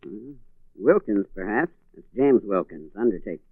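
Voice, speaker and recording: An actor playing a matter-of-fact small-town doctor, formal and slightly hesitant, in a 1940s radio drama. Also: male voice, fairly low pitch, expressive, moderate pace, breathy edge, no room sound. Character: matter-of-fact small-town general practitioner, mildly defensive. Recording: muffled 1940s radio recording, phone-like band.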